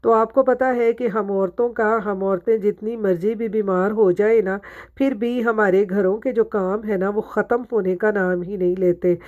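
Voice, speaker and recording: Mom doing a chatty home vlog voice-over, kinda sore throat, mid pitch, bit lo-fi. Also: female voice, steady tone, moderate pace, a little mellow. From Pakistan. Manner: talks to viewers, asks them questions. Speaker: home vlogger and mother. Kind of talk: chatty voice-over narration of a daily routine.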